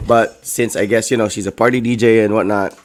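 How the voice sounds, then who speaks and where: A man in his thirties, still a bit hoarse, co-hosting a casual talk show and rambling with lots of "like" and "you know". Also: on the low side, fairly even intonation, brisk. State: hoarse, recovering from a lost voice. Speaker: co-host. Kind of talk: casual chat.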